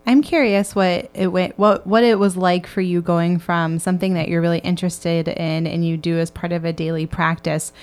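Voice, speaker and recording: A woman's voice: lowish voice, steady tone, normal speed, few pauses, darkish tone, clean tone. A woman hosting a podcast, asking a guest friendly, curious questions. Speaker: podcast host. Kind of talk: interviewing a guest.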